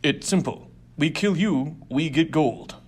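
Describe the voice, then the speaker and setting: A man acts out a greedy alien gang boss in a science fiction story. He is loud and menacing, pushing out short, broken sentences with blunt force, like someone used to taking what he wants. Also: a little high, unhurried. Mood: threatening. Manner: clipped broken grammar, forceful.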